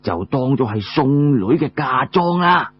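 A man voicing a mild elderly father in a storytelling audiobook, explaining things in a slow, drawn-out way. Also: mid-range voice, animated, breathy edge, muffled recording, soft background music. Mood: genial, explaining.

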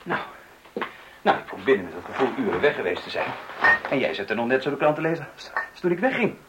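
Hesitant, placating husband played by an actor, lively intonation. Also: male voice, medium pitch, close to an everyday voice. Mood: reproachful. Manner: conciliatory, halting. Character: placating, hesitant husband, twenty years married. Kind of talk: radio play acting. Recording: noisy old radio play recording.

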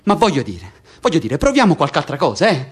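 An actor playing a stubborn, hot-headed, foul-mouthed political prisoner in a theatrical radio drama, speaking vehemently and repeating himself. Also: male voice, fairly high pitch, huge pitch swings, a little fast, husky, exaggerated voice. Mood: pleading, warning.

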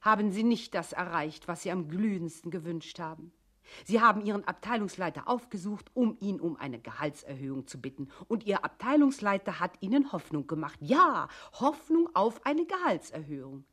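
An actress playing a mock-solicitous narrator in a radio play, giving instructions in a deliberate, ironic way. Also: female voice, lowish voice, big pitch swings, fairly bright tone. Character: instructing female narrator, mock-solicitous towards a hapless employee. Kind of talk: German radio play narration in second person.

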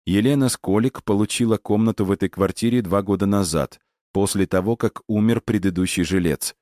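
A man's voice: on the low side, brisk, mellow, dry recording, clean studio sound, natural voice. A man voicing a bold, analytical boy in an audiobook story, reasoning things out step by step. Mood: reasoning, confident. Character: bold, analytical boy, eager to justify himself.

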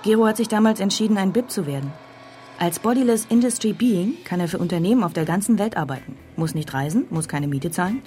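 A woman plays a young student in a naturalistic radio drama. She sounds dry, determined and unflustered, in a mid-pitched voice with faint music behind. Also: animated, quick pace, warm tone, close to an everyday voice. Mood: dry, reflective. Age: young adult.

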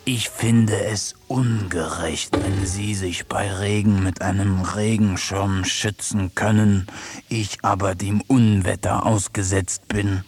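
Sulky radio-play toad, slow and low, music under. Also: male voice, fairly even intonation, a little hiss or hum. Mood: aggrieved. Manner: complaining, rising to indignant whines. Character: aggrieved, plaintive toad.

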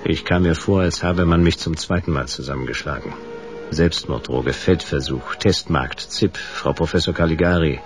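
An actor playing a world-weary hard-boiled detective, narrating a radio drama in a laconic, deadpan way. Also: male voice, very deep voice, moderate pace. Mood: dazed, laconic. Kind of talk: noir first-person radio drama narration. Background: music.

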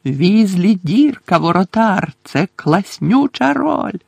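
A man voicing gloating school sports fans in an audiobook, singing a slow, drawn-out mocking chant. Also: high-pitched, very animated, vocal fry, no room sound, studio quality, pitched above the performer's own voice, exaggerated voice.

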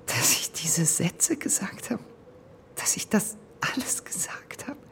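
An actress in a radio drama playing a wealthy, wounded, vengeful middle-aged woman, intense and halting, with a creaky voice. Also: on the low side, very animated, a bit bright, exaggerated voice. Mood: choked, shattered. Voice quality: creaky voice, often breaking into whispers.

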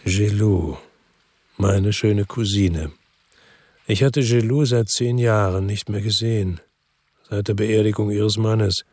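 Weary ex-cop narrator. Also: male voice, on the low side, slow, pauses often, breathy edge, no echo. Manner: calm, reflective first-person narration. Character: world-weary ex-cop, middle-aged man, lives by the sea. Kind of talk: radio drama narration.

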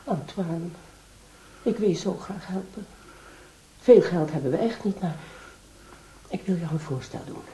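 An actress playing a scheming, ambitious wife in a radio drama, coaxing and insistent. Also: female voice, fairly low pitch, lively intonation, a little slow, long pauses, mellow, poor audio. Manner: coaxing, insistent, feigned concern. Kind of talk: Dutch radio drama acting.

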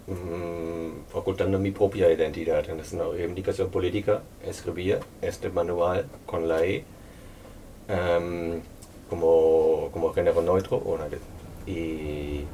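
Low-voiced non-native author, hesitant. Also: dark tone, recorded from a distance, slight background noise. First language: not Spanish. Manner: hesitant, thoughtful, many pauses and fillers. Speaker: author presenting a book. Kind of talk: book launch talk. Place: local venue at a public presentation.

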